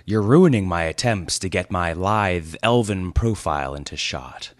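A man's voice: low-pitched, lively intonation, a little slow, no room sound, studio quality. A man voicing a vain young elf who drawls haughtily. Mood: haughty.

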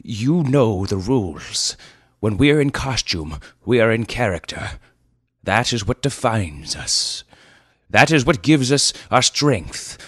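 A man's voice: lowish voice, sing-song, slow pace, a bit bright, husky, no echo, clean studio sound, pitched above the performer's own voice, heavily acted. A man doing a solemn wise old leader. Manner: formal, earnest, speechifying.

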